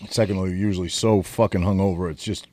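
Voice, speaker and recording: A man's voice: deep voice, steady tone, fast talker, darkish tone, no echo. A man, co-hosting a podcast and telling a rambling road-trip story with plenty of swearing. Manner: rambling, profane, storytelling with filler words. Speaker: podcast co-host. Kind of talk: casual storytelling chat.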